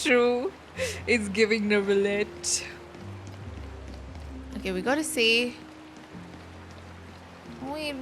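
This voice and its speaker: Casting: female game streamer, higher register, informal off-the-cuff exclamatory reactions, prominent game music in background. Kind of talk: casual live playthrough reaction commentary.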